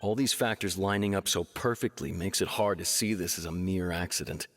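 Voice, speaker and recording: Deep, blunt game investigator. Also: male voice, a bit bright, long reverb tail, faint music behind. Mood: serious, frank. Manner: straightforward, measured. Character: blunt, world-weary male investigator, serious and skeptical. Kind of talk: video game voice acting.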